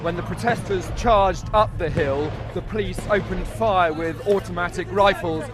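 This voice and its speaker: An outdoor news clip with music laid over it. A man reports from the scene in a high-pitched voice. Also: moderate pace.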